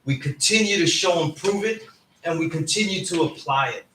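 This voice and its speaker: A man's voice: fairly high pitch, normal speed, bright, forceful tone. Echoey, emphatic New man teaching. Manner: emphatic, often checks in with "right?". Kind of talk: informal class lecture. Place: indoor classroom. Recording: echoey room, distant mic, background noise.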